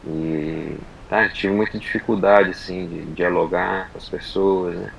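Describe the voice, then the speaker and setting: A man from Brazil telling a personal story on a podcast, in unhurried, halting casual chat full of fillers and restarts. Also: low-pitched, fairly even intonation, gravelly, slight background noise, rough recording. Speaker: podcast guest.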